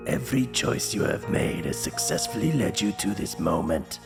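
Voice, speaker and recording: A man's voice: fairly low pitch, creaky. A man giving an ominous, theatrical scripted narration of a fantasy adventure. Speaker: narrator of a choose-your-own-adventure book. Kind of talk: dramatic scripted fantasy narration in second person.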